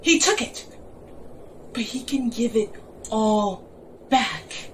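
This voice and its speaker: A woman's voice: on the high side, lively intonation, takes it slowly, recorded from a distance, a little hiss or hum. A woman in an audio drama playing a fierce, scornful, theatrical villain. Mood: fearful bargaining. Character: fierce, theatrical female villain, cornered and commanding.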